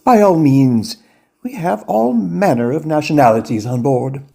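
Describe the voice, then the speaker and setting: A husky, creaky-voiced Victorian opera manager, fussy and eager to oblige. His mellow tone moves in lively swings, as befits a period audio farce. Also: on the high side, unhurried, full character voice. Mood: eager, obliging.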